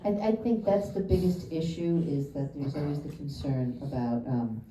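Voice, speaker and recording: A woman with a very low, mellow voice moderates a post-screening discussion, choosing her words with short pauses. She sounds distant in an echoey theater with faint room ambience. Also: slight background noise. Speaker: festival director moderating the Q&A.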